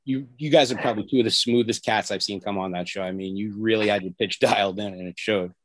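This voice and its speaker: A man's voice: on the low side, fairly even intonation, fast talker. A man hosting a podcast interview, enthusiastic and full of praise for his guest. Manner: enthusiastic, complimentary. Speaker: podcast host.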